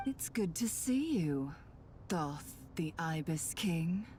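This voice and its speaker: Low-voiced shrewd young woman. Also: sing-song, slow pace, warm tone, a little hiss or hum. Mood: pleased. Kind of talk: scripted video game voice acting.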